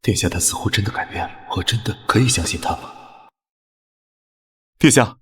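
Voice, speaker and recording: A man voicing an earnest, wounded young consort in an animated drama, formal and full of doubt. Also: fairly low pitch, normal speed, husky, dry recording, clean studio sound. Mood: wistful doubt, then puzzled. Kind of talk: animated short-drama dubbing.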